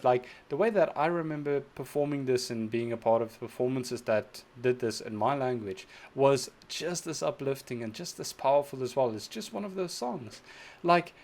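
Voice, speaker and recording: Young male reaction host, gushing and enthusiastic, average pitch. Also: a little mellow. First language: Afrikaans. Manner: effusive, enthusiastic, thinks aloud with restarts. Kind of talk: casual reaction commentary.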